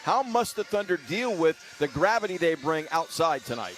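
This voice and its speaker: A man's voice: fairly high pitch, very animated, moderate pace, fairly bright tone, faint music behind. A man hosting sports radio, delivering fluent, formal announcer commentary and wordy questions. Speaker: sports radio host and interviewer.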